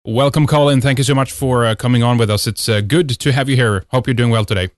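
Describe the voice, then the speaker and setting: A man's voice: medium pitch, fairly even intonation, fast talker, no echo. Swedish guy hosting a talk radio show, midrange voice, super dry studio sound. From Sweden. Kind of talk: talk radio monologue and guest introduction.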